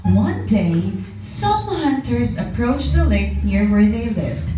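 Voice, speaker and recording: A woman narrates a children's tale with animated, rising and falling pitch. Her scripted storytelling comes from far off in a very reverberant room, with music clearly playing behind her. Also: slow. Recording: very echoey room, distant mic, music.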